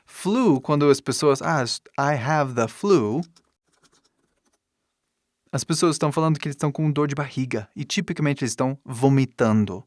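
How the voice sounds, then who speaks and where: A man with an English speaker's foreign accent, a native English speaker, teaching in a deliberate way with pauses between short phrases and example sentences. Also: mid-range voice, a little mellow, no echo. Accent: English-speaking foreigner's accent in Portuguese. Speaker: English teacher giving an online lesson. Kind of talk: instructional lesson with example sentences.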